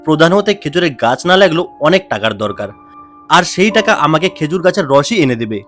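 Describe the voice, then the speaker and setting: A man's voice: a little high, big pitch swings, fast talker, a bit bright, faint music behind. A man voicing a blustering, boastful village official who owns an orchard in a cartoon, with an agitated, scolding manner. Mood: boastful, irritated. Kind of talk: cartoon voice acting.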